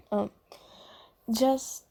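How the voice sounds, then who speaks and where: A woman voicing a kindly, apologetic demon in a soft, half-whispered, hesitant and reassuring ASMR comfort roleplay. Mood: fond, reassuring. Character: kindly apologetic female sleep paralysis demon, gentle comforter.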